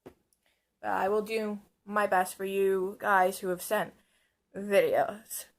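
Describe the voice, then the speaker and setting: A hoarse woman chatting casually to camera in a rambling, self-mocking way. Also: mid-range voice, flat delivery, takes it slowly. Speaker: vlogger. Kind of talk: casual vlog chat. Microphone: camera on a stand.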